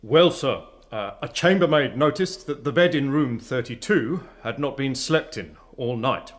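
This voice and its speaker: An actor playing a respectful, plodding English police constable giving formal, slightly hesitant testimony in a radio drama. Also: male voice, mid-range voice, a little slow, close to an everyday voice. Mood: deferential, factual.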